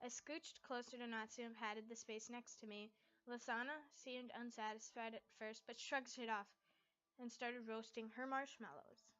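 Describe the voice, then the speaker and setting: A young adult woman narrating a fan fiction story in an expressive amateur style, recorded up close at home. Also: fairly high pitch, moderate pace, highs cut off.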